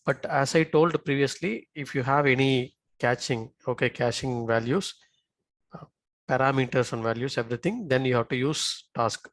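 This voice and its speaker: A man giving a live software tutorial as an instructor, often checking in with short tag questions. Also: medium pitch, moderate pace, no room sound.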